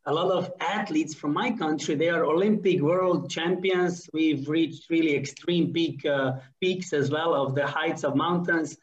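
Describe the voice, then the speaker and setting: A man's voice: fairly high pitch, unhurried, hardly any pauses. Slovenian-accented male host, roomy lo-fi sound. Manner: earnest, slightly non-native phrasing. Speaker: podcast host. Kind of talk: interview host.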